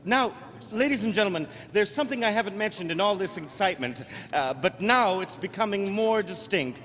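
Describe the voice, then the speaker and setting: Actor as a high-voiced, stammering radio reporter, horrified. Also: male voice, animated, brisk, a bit bright. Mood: excited, curious. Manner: breathless, stammering, hesitant live commentary. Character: excitable, horrified male radio news reporter at a disaster scene. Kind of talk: old-time radio drama eyewitness reporting. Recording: echoey hall, stage radio play.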